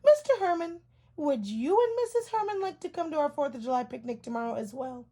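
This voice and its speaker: A woman's voice: sits high, lively intonation, brisk. A woman voicing a friendly, thoughtful young duck in a children's storybook, polite and enthusiastic. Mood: eager, polite. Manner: bright-spirited, polite, enthusiastic.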